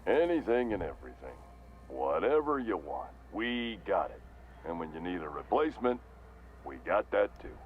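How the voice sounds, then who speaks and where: A man's voice: low voice, sing-song, normal speed, phone-quality sound, a little hiss or hum. An actor playing a corporate vendor in a mascot helmet in a video game, with forced salesman patter over weary resignation. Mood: upbeat sales pitch. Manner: forced salesman cheer with slogans, slipping into resignation. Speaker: shop vendor.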